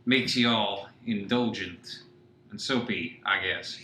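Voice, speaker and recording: A gruff man, played by a male actor in a radio comedy, blustery and suspicious. He talks slowly and forcefully in an echoey room. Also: on the low side. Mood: blunt. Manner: blustery and blunt. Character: gruff, suspicious working-class Southern man. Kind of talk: satirical radio play acting. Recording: echoey room with faint noise.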